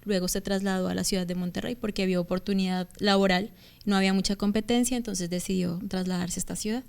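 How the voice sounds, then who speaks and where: Woman, thirties, Bogotá Colombian accent, smooth resonant voice, chatty, hesitant fillers, TV interview guest. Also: medium pitch, steady tone, fast, darkish tone. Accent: Bogotá Colombian Spanish. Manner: talkative, hesitant fillers, frequent restarts. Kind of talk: conversational interview testimony. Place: TV studio.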